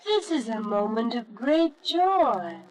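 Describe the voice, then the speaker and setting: A woman's voice: fairly high pitch, big pitch swings, takes it slowly, a little mellow. A woman playing a tender, gracious Holy Mother in an old-fashioned radio nativity drama, with solemn archaic diction. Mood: tender, consoling.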